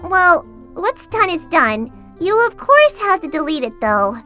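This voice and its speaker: A woman voicing a very high, perky teen superhero girl. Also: sing-song, measured pace, bright, forceful tone, full character voice. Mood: stern, wary. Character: perky teenage superhero girl, earnest and determined. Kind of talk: fan comic dub voice acting. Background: music.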